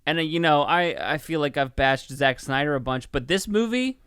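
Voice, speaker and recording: A man co-hosting a podcast, chatting casually in an excitable, rambling way with plenty of swearing. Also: fairly high pitch, normal speed, smooth, dry recording, studio quality. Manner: excitable, profane, rambling enthusiast. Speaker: podcast co-host reviewing a film. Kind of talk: casual chat.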